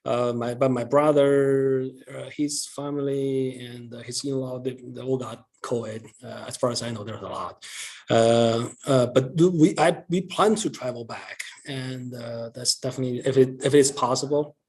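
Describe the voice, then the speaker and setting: Halting middle-aged man. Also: mid-range voice, fairly even intonation, a little mellow. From China. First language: Chinese. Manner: halting, many pauses and restarts. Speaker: guest speaker. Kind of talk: answering audience questions.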